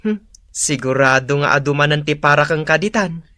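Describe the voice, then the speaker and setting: A man's voice: a little high, moderate pace, bright, forceful tone, no echo. A man with an Ilocano accent playing an eager village buddy in a radio drama. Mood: curious.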